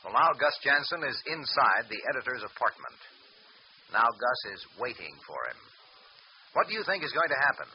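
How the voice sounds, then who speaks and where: A man's voice: mid-range voice, big pitch swings, normal speed. A male announcer giving scripted, suspenseful narration for an old radio serial. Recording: dull old radio recording with hiss.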